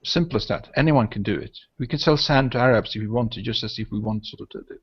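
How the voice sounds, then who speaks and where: A man's voice: mid-range voice, fast talker, breathy edge, dull audio. Swedish-born man rambling on a video call. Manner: rambling, frequent "right?" and "I mean". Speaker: host. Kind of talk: casual video hangout chat.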